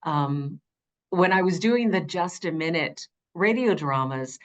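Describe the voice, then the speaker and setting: Middle-aged woman, low alto, interview guest recounting her audiobook narration career with emphatic conviction. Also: lively intonation, unhurried, dull audio. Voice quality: low alto, changed by vocal nodules. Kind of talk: conversational interview storytelling.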